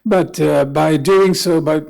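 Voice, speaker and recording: Fairly high-pitched man with a Swedish accent. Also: slow pace, creaky, darkish tone. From Sweden. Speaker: small business representative. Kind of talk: informal spoken account at a forum.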